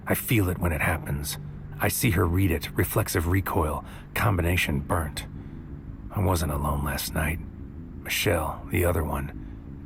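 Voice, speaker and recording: A man's voice: deep voice, vocal fry, background noise, exaggerated voice. A man playing a tormented, brooding man who narrates his surreal story in a poetic, weighty audio drama style. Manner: poetic, weighty inner monologue.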